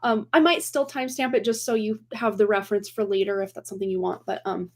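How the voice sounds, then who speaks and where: A woman's voice: medium pitch, fairly even intonation, fast talker, darkish tone. A woman in her forties hosting a tarot livestream, chatting casually and rambling with frequent laughs and restarts, her voice a little worn from recent voice loss. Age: 40s. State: recovering from lost voice, slightly worn.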